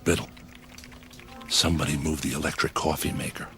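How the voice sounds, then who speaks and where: An actor playing a meek, nervous office worker in a radio drama, hesitant and deferential. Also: male voice, extremely low pitch, level tone, brisk, bright, forceful tone, faint music behind, exaggerated voice. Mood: sly. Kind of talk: old-time radio style drama acting.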